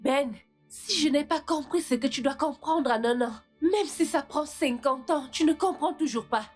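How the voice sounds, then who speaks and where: A woman's voice: sits high, normal speed, faint music behind, natural voice. A woman in her thirties dubbing a bitter, reproachful girlfriend who accuses her partner. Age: 30s. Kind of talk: French dub of a film.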